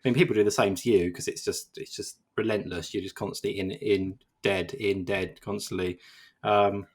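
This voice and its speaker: A man's voice: fairly low pitch, fairly even intonation, moderate pace, husky. A man co-hosting a casual games podcast, rambling and self-deprecating with lots of fillers. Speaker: games journalist co-host.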